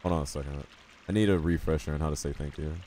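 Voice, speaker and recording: A man's voice: very deep voice, fast, warm tone, slight background noise. A man from the United States chatting casually with viewers while he plays a game, rambling and poking fun at himself. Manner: rambling, self-deprecating, reads chat aloud. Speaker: streamer.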